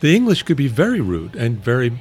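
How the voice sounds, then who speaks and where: A middle-aged man chats casually as co-host beside his wife, circling his points with restarts and fillers. His voice sits in an ordinary middle pitch. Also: wildly swooping pitch, a little fast, a little mellow. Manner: rambling, stumbling restarts. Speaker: podcast co-host. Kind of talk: casual married-couple chat.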